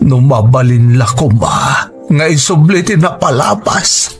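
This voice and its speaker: A man's voice: mid-range voice, animated, measured pace. A man acting a remorseful estranged father in an audio drama, speaking earnestly and pleadingly to his grown children. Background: background music.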